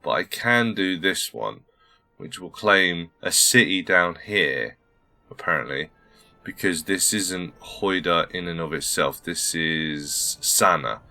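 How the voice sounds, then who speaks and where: Deep, bright-toned gaming host. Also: male voice, expressive, slow. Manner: polite, formal greetings, thinking aloud. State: slightly under the weather. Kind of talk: casual let's-play commentary.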